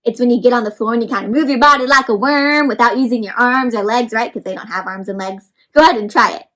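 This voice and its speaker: High-voiced woman reading aloud to children. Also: fast talker, a bit bright. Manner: addresses children directly, asking questions and explaining words. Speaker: read-aloud storyteller for children. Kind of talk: interactive picture book read-aloud.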